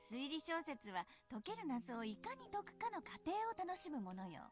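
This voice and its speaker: An actress playing a teasing, thoughtful middle-aged mother in a visual novel, explaining things in a coy, measured manner. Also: sits high, very animated, music in the background. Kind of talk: Japanese visual novel voice acting.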